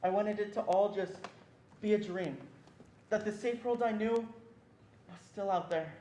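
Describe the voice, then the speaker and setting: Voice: medium pitch, a little slow, mellow, close to an everyday voice. A young performer playing an earnest narrator who recalls a frightened childhood, in scripted stage narration. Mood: wistful. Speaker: student reader in a reader's theatre performance. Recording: roomy echo with faint background noise.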